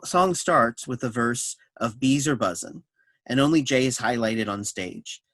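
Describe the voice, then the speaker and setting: A man reading scripted introductory notes and stage directions as a narrator, in a measured, explanatory way. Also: mid-range voice, fairly bright tone, dry recording.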